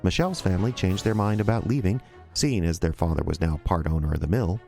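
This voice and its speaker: A man's voice: sits low, fast talker, mellow, soft background music. A man narrating an audio drama in a storytelling style, as its narrator and announcer.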